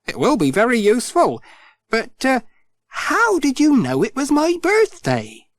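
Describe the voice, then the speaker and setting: A man's voice: extremely high pitch, wildly swooping pitch, unhurried, voice pushed up, full character voice. A man voicing a friendly, polite village postman who is puzzled, in a storybook reading. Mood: bemused. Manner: polite, bemused.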